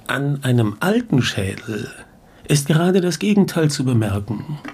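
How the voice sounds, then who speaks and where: An actor playing a learned professor of skull science, lecturing formally and pedantically in a German radio drama. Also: male voice, mid-range voice, big pitch swings, a little slow, a little mellow, slightly rough, exaggerated voice. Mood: pedantic, absorbed.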